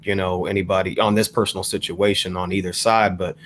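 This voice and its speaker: A man co-hosting a podcast, talking through an issue in a measured, explanatory way with careful hedging. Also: low voice, flat delivery, fairly bright tone, a little hiss or hum. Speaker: podcast co-host. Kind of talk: panel discussion commentary.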